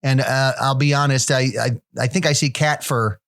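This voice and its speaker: A man's voice: medium pitch, steady tone, normal speed, fairly bright tone, clear, resonant tone, lightly acted. A man playing a fussy dating-app phone operator. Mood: deadpan. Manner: hesitant, picky commentary with restarts.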